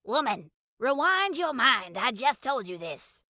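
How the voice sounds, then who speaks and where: Audio fiction: odd, tiny mystic lady played by a woman narrator, raw crackling voice, slow sing-song pronouncements, dry close sound. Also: very animated, sharp tone, no echo, exaggerated voice. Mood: sassy, irritated. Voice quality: high-pitched, crackly, raw. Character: small, eccentric, crazy mystery older woman, slow and cryptic.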